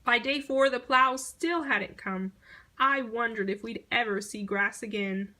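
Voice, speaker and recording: Bright-voiced woman reading a children's story. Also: fairly high pitch, a little slow. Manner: expressive storytelling, acting out short exclamations. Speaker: picture book reader. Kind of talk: scripted children's story read-aloud.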